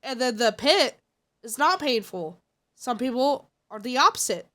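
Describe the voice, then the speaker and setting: A woman's voice: on the high side, animated, a little slow, a bit bright. A congested woman streamer chatting casually and giving blunt, opinionated takes. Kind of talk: casual live-stream chat.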